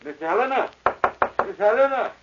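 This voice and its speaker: An actor playing a fussy, solicitous building superintendent in an old radio drama, polite and coaxing. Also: male voice, high-pitched, lively intonation, normal speed. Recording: muffled, faintly noisy old radio recording.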